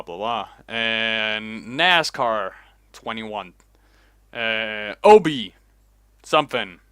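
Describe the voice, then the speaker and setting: Guy rambling thru his vlog at his computer, takes his time, smooth clear voice. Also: mid-range voice, bright, forceful tone, no echo. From Denmark. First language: Danish. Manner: rambling, unhurried, chatty. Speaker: host. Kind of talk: casual reaction vlog commentary. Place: home, alone at a computer.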